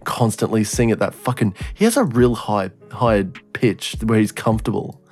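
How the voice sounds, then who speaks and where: A man's voice: lowish voice, moderate pace, darkish tone, slightly rough, soft background music. A man in his twenties co-hosting a podcast, rambling in casual chat with heavy swearing and lots of fillers. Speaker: podcast co-host.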